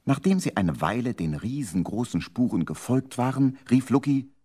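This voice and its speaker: A man's voice: mid-range voice, big pitch swings, moderate pace, warm tone, breathy edge. A man narrating a children's radio play from the 1970s in a storytelling manner.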